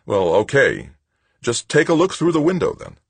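A narrator doing a frustrated grown son, exasperated and pleading, in a raised voice that still keeps a husky grain. The pitch swings widely, and the reading sounds close and dry. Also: male voice, huge pitch swings, normal speed, no echo, exaggerated voice. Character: frustrated grown son home from space work.

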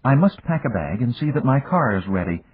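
Male narrator as young Venezuelan lady, earnest, formal, spirited, pitched up, husky, audiobook character. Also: sing-song, a little fast. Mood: excited. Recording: echoey room, muffled narrow-band sound.

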